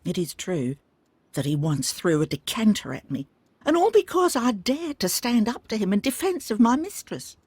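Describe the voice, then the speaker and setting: A woman's voice: mid-range voice, big pitch swings, normal speed, darkish tone, husky, dry recording. An actress playing a loyal, forthright lady's maid who speaks bitterly in a video game. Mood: indignant. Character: loyal, indignant lady's maid, protective of her mistress.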